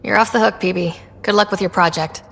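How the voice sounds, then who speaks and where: A woman's voice: medium pitch, steady tone, quick pace, fairly bright tone. A woman playing an earnest, inquisitive young female explorer in conversational video game dialogue. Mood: curious, friendly. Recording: long reverb, music behind.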